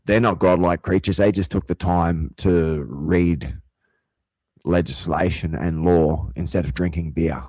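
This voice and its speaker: A man's voice: deep voice, fairly even intonation, measured pace, dark tone, dry recording. A man delivering a rambling, profane rant as a vlogger. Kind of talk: rambling monologue.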